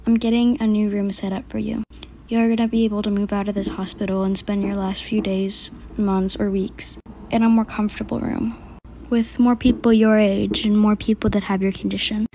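A woman playing a soft-spoken, halting doctor. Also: medium pitch, creaky, slight background noise, lightly acted. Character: hesitant hospital doctor, gentle and solemn. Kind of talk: homemade skit.

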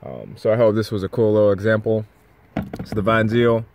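A man's voice: fairly low pitch, fairly quick, vocal fry, slight background noise. A young man vlogging from his parked van, talking casually and rambling, with easy laughs. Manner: relaxed, rambling, laughs between words. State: tired and tipsy late at night. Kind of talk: casual vlog to camera. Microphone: phone camera at arm's length.

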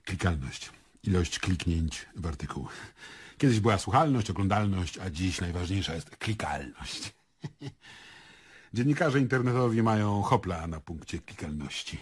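Very raspy, wry worldly thespian. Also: male voice, low-pitched, animated, measured pace, exaggerated voice. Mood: wry, reminiscing. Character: male stage and film actor, wry, worldly, easygoing. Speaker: actor. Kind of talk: Polish radio drama acting.

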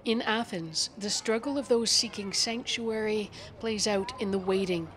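A woman correspondent narrating a scripted news report in a measured, solemn manner. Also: mid-range voice, fairly bright tone, a little hiss or hum. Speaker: foreign correspondent.

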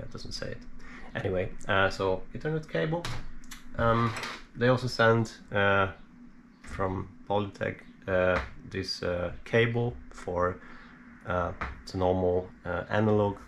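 A man giving a casual, unscripted hands-on explainer as a hobbyist, halting and hesitant as he thinks aloud. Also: sits low, gravelly. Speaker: DIY electronics hobbyist presenter. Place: small indoor home workshop. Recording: steady background noise.

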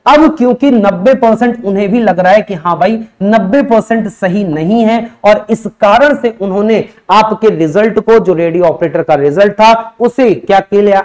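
A man's voice: high voice, a little mellow. A man, talking earnestly and conversationally to students as a coaching teacher, often posing rhetorical questions. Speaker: exam-coaching teacher and presenter. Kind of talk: explanatory talk to students. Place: indoor coaching studio in front of a digital board. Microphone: lapel mic.

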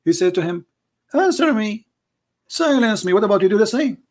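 A man's voice: high-pitched, sing-song, moderate pace. A man whose first language is Arabic, arguing emphatically in a call-in debate, repeating himself, with non-native grammar. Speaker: debate show host.

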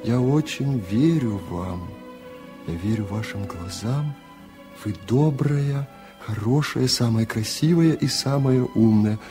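A man's voice: mid-range voice, takes it slowly, dark tone, faint music behind, close to an everyday voice. A man playing a shy young student in love, speaking with tender, adoring earnestness in a literary radio reading.

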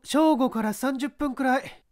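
A man voicing a brazen young woman, a haughty and sharp-tongued scout, in a dramatised audiobook. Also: extremely high pitch, a little slow. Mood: indignant.

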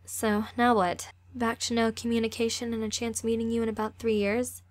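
Earnest, careful teen girl played by a woman, higher pitched, for an amateur audio drama romance. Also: little pitch movement, moderate pace, no room sound, natural voice. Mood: uncertain. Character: earnest, cautious sixteen-year-old girl in love.

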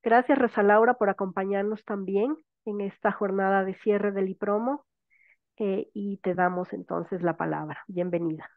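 Woman, moderator, polite, mid-pitched voice, dry tinny phone-like sound, reads introductions. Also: fairly even intonation, moderate pace, no room sound. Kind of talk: moderating an online event. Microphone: video call.

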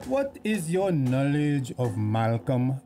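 A male village police constable with a Tobagonian accent, in radio drama style. He questions formally and slowly, in a mellow voice that rises and dips as he probes. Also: mid-range voice, very animated, soft background music. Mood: measured, probing. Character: measured, inquisitive village police constable, a man.

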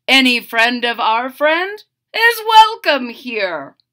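A woman voicing a welcoming, exuberant storybook king with loud, drawn-out, theatrical delivery. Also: extremely high pitch, very animated, exaggerated voice. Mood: gracious.

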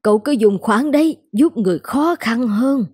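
A woman voicing a kindly, humble elderly shop owner in a cartoon, gently protesting. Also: fairly high pitch, expressive, normal speed, breathy edge, no echo, clean studio sound. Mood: modest, firm. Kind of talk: cartoon dubbing.

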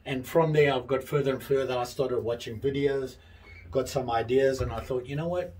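A man in his fifties casually showing his tools in a small workshop, talking in a relaxed, rambling way with many pauses and restarts. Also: mid-range voice, slightly rough, poor audio. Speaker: hobbyist channel host. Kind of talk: casual show-and-tell vlog. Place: small workshop with pegboard tool wall, indoors.

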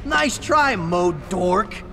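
An actor playing a cocky, youthful, wisecracking superhero in a video game, flippant and teasing. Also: male voice, high voice, expressive, very slow, creaky, exaggerated voice. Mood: mocking. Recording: loud game music behind.

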